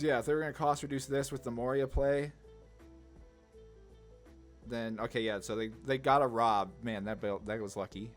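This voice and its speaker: A man giving casual gameplay commentary, thinking aloud in a laid-back, slangy way. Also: mid-range voice. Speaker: card game video host. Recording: music under the voice. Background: music.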